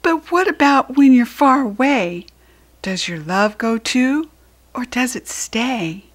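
A woman's voice: high voice, expressive, slow pace, voice pushed up, full character voice. A woman voicing a grumpy, anxious little fox child in a storybook, asking plaintive, emphatic questions. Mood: anxious questioning.

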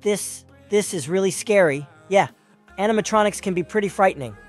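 A woman's voice: mid-range voice, a little fast, close to an everyday voice. A woman playing a stammering shy night guard. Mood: narrating. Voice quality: stammering, nervous. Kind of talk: comic dub acting. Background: music.

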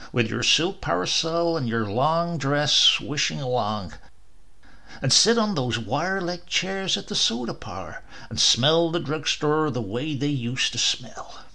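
A man's voice: a little high, very animated, a little slow, gravelly, sharp tone, heavily acted. A man voicing a mild-mannered, nostalgic storybook husband who speaks in a wistful, coaxing, hesitant way.

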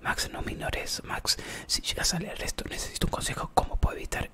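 A man with a Rioplatense Spanish accent, whispering softly as an ASMR live streamer, chatting with viewers in a rambling, playful way. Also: a little fast.

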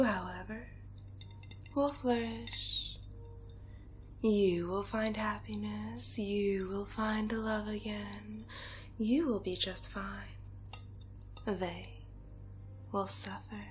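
Young woman as vengeful witch, ASMR roleplay. Breathy whispers, deliberate and drawn-out delivery, noticeable noise behind the voice. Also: a little high. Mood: vengeful, soothing. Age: young adult. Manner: slow, deliberate, soothing yet menacing. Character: sly, vengeful young witch brewing a hex. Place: small bedroom, indoors.

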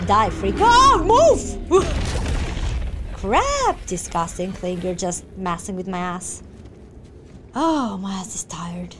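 A woman's voice: medium pitch, big pitch swings, slow pace, noisy background. A woman giving casual live reaction commentary on a game in short exclamations, squeals and interjections. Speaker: gaming reaction YouTuber. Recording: loud game soundtrack behind. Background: video game music and sound effects.

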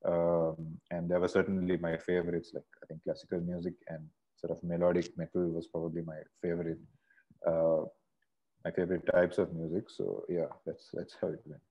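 A man's voice: low-pitched, completely flat delivery, normal speed, highs cut off. A man from Sri Lanka, a singer, talking as a guest over a video call in a casual, rambling way with many restarts. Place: bright room indoors. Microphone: earphone mic on a video call.

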